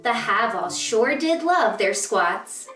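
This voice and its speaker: A woman reading aloud to children as a story-time host, with an expressive, deliberate storytelling delivery. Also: on the high side. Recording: roomy echo, distant mic, music behind.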